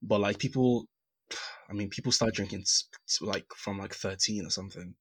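A man co-hosting a casual podcast, relaxed and teasing. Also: lowish voice, moderate pace, slight background noise. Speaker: podcast co-host. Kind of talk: casual chat.

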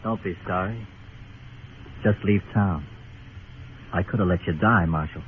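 An actor playing a bitter, sardonic disgraced doctor turned crooked gambler in a radio Western, talking in clipped phrases. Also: male voice, low-pitched, a little slow, phone-quality sound. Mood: jealous, menacing. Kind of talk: 1950s radio drama acting. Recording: thin noisy old radio recording.

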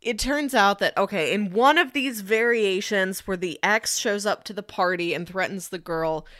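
A woman's voice: medium pitch, moderate pace, fairly bright tone, no echo, clean studio sound. A woman talking casually and analytically as a podcast co-host discussing a film.

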